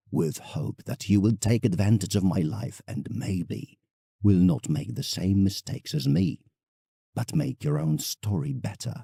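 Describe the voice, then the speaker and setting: Husky, expressive old male painter, played by an actor. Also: on the low side, dry recording, studio quality, full character voice. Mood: wistful, gentle. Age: older. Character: elderly male English painter, reflective, nostalgic letter writer. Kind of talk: dramatic first-person monologue, audiodrama.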